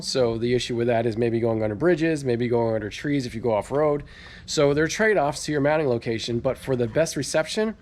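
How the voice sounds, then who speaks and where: A man's voice: medium pitch, warm tone. A man hosting a car DIY video, talking viewers through an installation in a casual, conversational, explanatory way. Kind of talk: casual how-to walkthrough.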